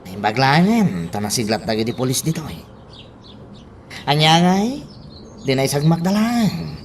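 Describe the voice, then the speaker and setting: A man's voice: on the high side, very animated, unhurried. Cautious gang henchman, deferential, varied intonation, radio drama, faint background noise. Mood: wary, deferential.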